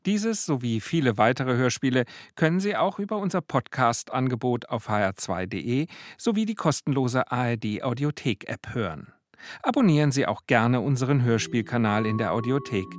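A man announces scripted programme credits. His pitch is average, and the studio sound is close and dry, with hardly any room on it. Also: lively intonation, moderate pace, no echo. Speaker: radio announcer.

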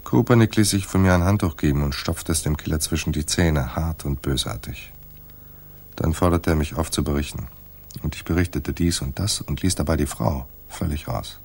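A hard-boiled private eye in a radio play. His voice is deep and rough-husky. He delivers his lines dryly, with wry understatement and a tough, terse manner. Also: normal speed, a little mellow, full character voice. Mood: terse, tense. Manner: dry, understated. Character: wry, tough, laconic male private detective narrator.

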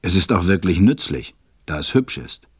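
A man's voice: low voice, big pitch swings, normal speed, slightly rough, no room sound, studio quality, exaggerated voice. A man narrating a storybook audio drama from a script.

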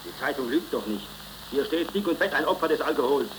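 An actor playing a gruff, scornful middle-aged working-class father in a 1930s German radio play, grumbling bluntly. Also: on the high side, lively intonation, fairly quick, natural voice. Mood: scornful, irritated. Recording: noisy crackling 1930s radio recording.

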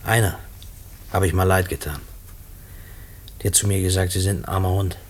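Husky, low-voiced, despairing convict. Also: male voice, fairly even intonation. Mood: bitter, resigned. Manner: colloquial, halting, repeating himself when agitated. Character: gruff, despairing convict writing letters to his mother. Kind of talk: German radio play acting.

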